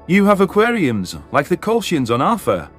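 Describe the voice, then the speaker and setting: A man's voice: high voice, wildly swooping pitch, moderate pace, faint music behind, pitched above the performer's own voice. A man voicing an anxious, grumbling alien soldier with a condescending streak in a sci-fi audio drama. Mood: indignant, alarmed. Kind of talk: audio drama character dialogue.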